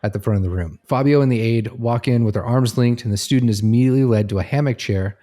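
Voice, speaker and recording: A man's voice: mid-range voice, fast, warm tone. A man reading scripted second-person narration that sets out a scene step by step.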